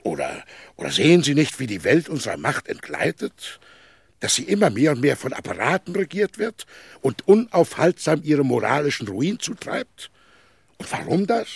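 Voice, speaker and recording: An actor playing a middle-aged, weary and bitter powerful magnate in a German radio play, declaiming theatrically with a gravelly, husky voice. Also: male voice, mid-range voice, no room sound, heavily acted. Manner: theatrical, declamatory, with rhetorical questions.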